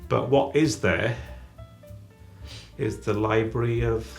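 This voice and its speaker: A man planning a trip on camera, chatting in a halting way that restarts its sentences. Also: medium pitch, creaky, soft background music. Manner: chatty, halting, self-correcting. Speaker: travel vlogger. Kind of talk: casual chat.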